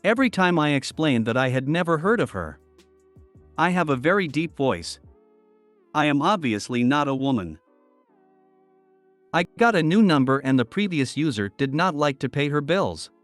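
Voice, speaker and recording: A man with a slightly high voice reads online stories aloud in an even, steady way. The sound is dry and close, with faint music playing underneath. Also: moderate pace, pauses often, no echo. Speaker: narrator. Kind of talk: reading online posts aloud.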